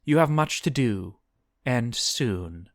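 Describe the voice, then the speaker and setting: A narrator voicing a huge, ancient female demon who speaks slowly and formally, intimate with kin and contemptuous of mortals. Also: medium pitch, animated, dry recording, clean studio sound, pitched above the performer's own voice. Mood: regretful, commanding. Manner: slow, formal, archaic phrasing.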